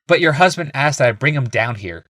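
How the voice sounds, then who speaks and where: A man's voice: mid-range voice, animated, fairly quick, fairly bright tone, dry recording, clean studio sound, voice pushed up. A man voicing a young male healer in an audiobook, matter-of-fact, dutiful and faintly smug. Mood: dryly dutiful.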